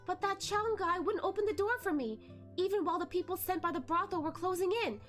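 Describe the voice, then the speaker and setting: Woman voicing a high-pitched, vengeful androgynous male ghost. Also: a little fast, a bit bright, faint music behind. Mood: hateful, aggrieved. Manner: hateful, mocking, dramatic. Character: bitter, vengeful androgynous male ghost, a former brothel courtesan.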